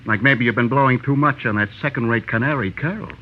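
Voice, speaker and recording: An actor playing a menacing gangster debt collector in an old-time radio drama, smugly taunting and casually threatening. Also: male voice, mid-range voice, quick pace, lightly acted. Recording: muffled, noisy vintage radio recording.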